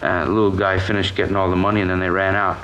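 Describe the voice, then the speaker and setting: A man's voice: deep voice, little pitch movement, rapid-fire, fairly bright tone, rough recording. A male actor playing a nervous, colloquial American kitchen worker giving a witness account in a 1950s radio crime drama. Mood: shaken. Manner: hesitant, colloquial, defensive. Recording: old radio recording with background hiss.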